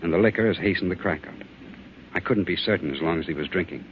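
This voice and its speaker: An actor playing a grave family doctor in old-time radio drama, measured, questioning and authoritative. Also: male voice, deep voice, close to an everyday voice. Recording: tinny, noisy old radio recording.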